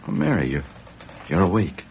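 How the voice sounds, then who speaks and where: Deep-voiced, despairing frontier homesteader. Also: male voice, sing-song, normal speed, gravelly, highs cut off, exaggerated voice. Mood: sorrowful. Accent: American. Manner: folksy, plaintive speech. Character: despairing homesteader husband, rustic and anxious. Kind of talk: old-time radio drama acting. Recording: noisy old radio recording.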